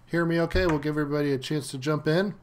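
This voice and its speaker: A man's voice: a little high, fairly even intonation, racing, a little hiss or hum. A man hosting a casual live stream from home, slightly stuffy from a cold, chatting loosely with many fillers and greeting viewers by name.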